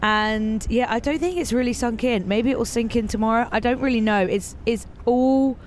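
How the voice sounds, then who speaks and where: A hoarse woman chats excitedly as a podcast host, often tripping over her words. She is recorded outdoors on a city street near a stadium. Faint traffic noise sits behind her. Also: on the high side, moderate pace. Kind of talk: casual chat.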